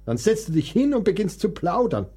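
An actor in a radio play, playing a frail, melancholic composer who is weary, plaintive and self-pitying. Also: male voice, sits high, sing-song, brisk, warm tone, breathy edge, faint music behind, heavily acted. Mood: gently teasing.

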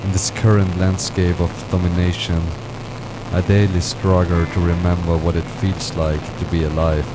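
A man reading a poetic personal text in a halting way, sometimes stumbling over words. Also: low voice, flat delivery, heavy background noise. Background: loud experimental music.

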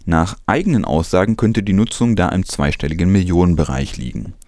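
A man's voice: deep voice, expressive, brisk, darkish tone, dry recording, clean studio sound. A man presenting scripted news with some commentary.

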